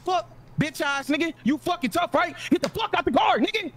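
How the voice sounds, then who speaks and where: A high-voiced man talks quickly in street slang, swinging widely in pitch. He is brash and profane and repeats himself, with game and car noise behind him. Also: expressive, a bit bright. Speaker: player in a crime role-play game session. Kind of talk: street-slang gamer role-play banter.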